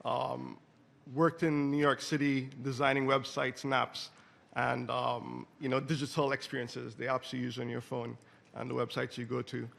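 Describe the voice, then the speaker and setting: Gravelly-voiced man from Trinidad and Tobago. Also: mid-range voice, level tone, normal speed, fairly bright tone. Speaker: panelist. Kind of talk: panel self-introduction. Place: conference hall.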